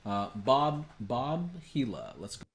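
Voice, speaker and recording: Male co-host, mid-pitched, emphatic and chatty on a video call. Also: very animated, takes it slowly. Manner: emphatic, conversational, tags lines with "right?". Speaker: workshop co-host. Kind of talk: live webinar discussion.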